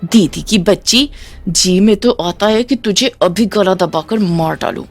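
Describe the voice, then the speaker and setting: A woman's voice: medium pitch, a little slow, soft background music. Woman acting a taunting, spiteful sister-in-law. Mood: mocking spite. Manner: taunting, sneering. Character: spiteful, mocking elder sister-in-law villain.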